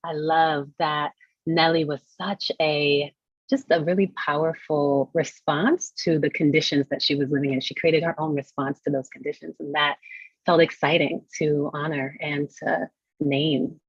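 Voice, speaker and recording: A woman's voice: sits low, fairly even intonation, a little slow, dry recording. A woman taking part in a reading, giving reflective, informal remarks on a dull, muffled recording. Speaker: reader, event participant.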